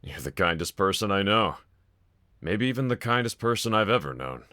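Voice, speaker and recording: A man's voice: on the low side, big pitch swings, normal speed, sharp tone. A man playing a brooding young villain roommate in an intimate audio roleplay, confiding hesitantly and wryly to one listener. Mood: tender, sincere. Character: brooding young male villain roommate, self-loathing but softly grateful.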